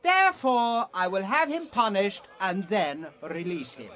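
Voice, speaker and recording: A man reading Scripture aloud and voicing a stern, weary Roman governor in a slow, deliberate way. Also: sits high, expressive, a bit bright, pitched above the performer's own voice. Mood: insistent. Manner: slow, deliberate delivery in a raised voice.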